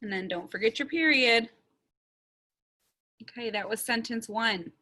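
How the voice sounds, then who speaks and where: A young woman teaches children to write sentences, speaking very slowly and carefully as she sounds out each letter. Her voice is bright and a little high. She records it on a webcam in a small room at home. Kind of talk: slow, step-by-step writing lesson for young children.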